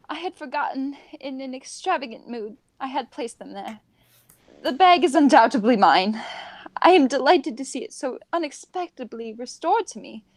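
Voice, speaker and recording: A woman's voice: high voice, no room sound. A woman playing a prim, fussy older governess in an amateur radio comedy, precise in her wording.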